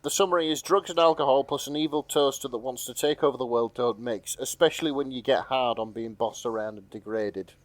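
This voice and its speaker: A man's voice: medium pitch, fairly quick, few pauses, no room sound. A man co-hosting a podcast in casual, sweary, joking banter. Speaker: podcast co-host.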